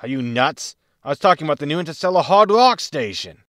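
An actor playing a blunt, impatient spaceship captain in a sci-fi comedy audio drama, talking casually. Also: male voice, on the high side, animated, quick pace, fairly bright tone, no echo, studio quality.

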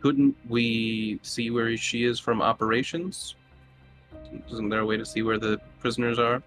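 A man's voice: mid-range voice, steady tone, a little slow, rough recording. A man playing a tabletop role-playing game, chatting casually in character. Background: music.

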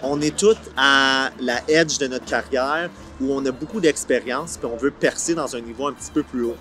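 A man's voice: medium pitch, normal speed. A man in his forties with a Franco-Ontarian accent, talking casually in an outdoor interview and mixing in English words. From Ottawa, Canada. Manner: colloquial, sprinkles in English words. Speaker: series creator being interviewed. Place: outdoor park film set. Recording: soft music bed under the voice.

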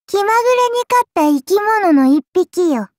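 A woman's voice: very high voice, lively intonation, moderate pace, a little mellow, no room sound, studio quality. A woman playing a childlike, playful serpent-god oni in an anime game, speaking in a haughty, archaic lordly manner with indulgent teasing. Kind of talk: anime game character voice lines.

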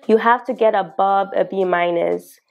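A young woman in her twenties with a Ghanaian accent, telling a story to camera in a casual vlog, animated and quick to laugh. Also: vocal fry, dull audio, faint music behind. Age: 20s. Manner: animated and expressive, laughing often, exclaiming in sudden high bursts. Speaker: vlogger. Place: small bedroom studio indoors. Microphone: lapel mic.

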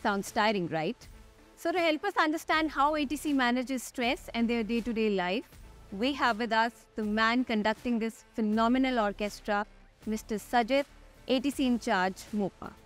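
Young female pilot-host, Indian accent, slightly high voice, scripted delivery over music. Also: moderate pace. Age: young adult. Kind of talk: scripted interview hosting and presenting. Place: large glass-walled airport tower room. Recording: music under the voice. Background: background music.

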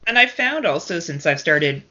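A woman's voice: lowish voice, very animated, moderate pace, pushed and bright. A woman from Canada talking casually and chattily as a guest on a film podcast. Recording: dull, lo-fi podcast audio.

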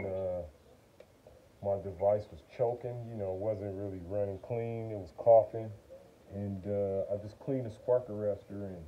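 Low-voiced man chatting casually, noisy outdoor recording. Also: narrow, tinny audio. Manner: informal, halting, folksy slang. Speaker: vlogger demonstrating generator maintenance. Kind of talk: casual how-to chat while working. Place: outside by a wooden porch.

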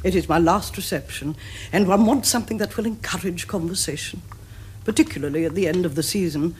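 An actress playing a grand, disapproving middle-aged society aunt with an upper-class English accent, pompous and precise. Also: female voice, lowish voice, a little fast, natural voice. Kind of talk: period stage comedy acting. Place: furnished studio drawing-room set. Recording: noisy old TV drama recording.

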